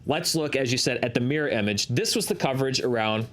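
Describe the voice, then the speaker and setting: A man's voice: medium pitch, quick pace, fairly bright tone. A man speaks as the host of a discussion show. He gives analytical commentary in an explanatory style, circling back and restarting phrases to drive a point home.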